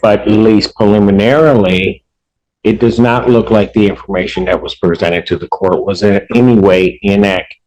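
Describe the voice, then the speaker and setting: Male American judge, lowish pitch, stern courtroom delivery, admonishing and explanatory. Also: fairly even intonation, normal speed, a little mellow, dull audio. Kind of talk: courtroom address from the bench.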